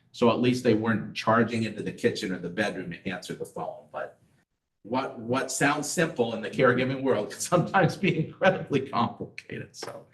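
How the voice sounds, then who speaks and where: A man's voice: mid-range voice, far from the mic. A middle-aged man giving a casual community class, talking conversationally and mixing in personal stories and rhetorical questions. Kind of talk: casual educational talk. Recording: roomy echo, voice off-mic.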